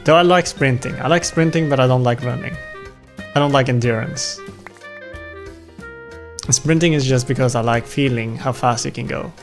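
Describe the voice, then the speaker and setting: A man's voice: mid-range voice, moderate pace, long pauses. Self-deprecating young man, non-native English, music behind. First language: not English. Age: young adult. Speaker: streamer. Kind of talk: casual chat while gaming.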